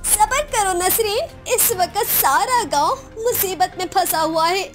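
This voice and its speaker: A woman's voice: very high voice, unhurried, faint music behind, pitched above the performer's own voice. A woman voicing a worried, distressed village woman in a cartoon moral story.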